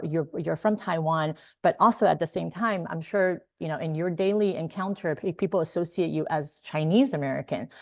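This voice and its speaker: A woman with a low voice moderates a webinar panel, eagerly thanking speakers and leading into questions. She talks with many fillers and restarts. The sound is dry and close. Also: a little mellow, no echo.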